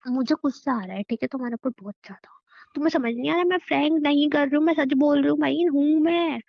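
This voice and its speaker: A woman's voice: high-pitched, fast talker, vocal fry, muffled recording. A young woman talking casually with her boyfriend in a whiny, complaining manner. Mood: upset. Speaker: girlfriend on a phone call. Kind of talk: casual relationship chat.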